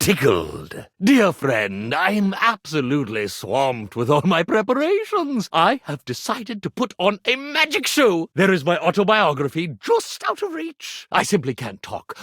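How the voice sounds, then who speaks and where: A male actor voicing a grandiose, lovestruck magician who is a living iron in a dating game, with florid theatrical delivery and dramatic pauses. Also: a little high, a bit bright, no room sound, clean studio sound, heavily acted. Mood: busy, apologetic.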